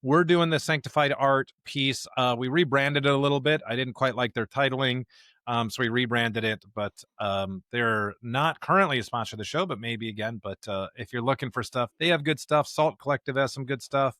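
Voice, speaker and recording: A man's voice: mid-range voice, normal speed, bright, forceful tone, no echo. Male American podcast host, mid-range voice, dry sound, chatty, informal pastor delivery. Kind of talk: casual podcast chat.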